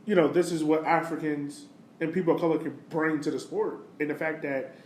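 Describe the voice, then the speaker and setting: A man with a fairly high-pitched voice talks casually as a podcast host. His delivery is conversational and peppered with "you know." The recording is roomy and echoey, with some noise behind him. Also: fairly even intonation, brisk, darkish tone. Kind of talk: casual soccer podcast discussion. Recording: roomy echo, clear background noise.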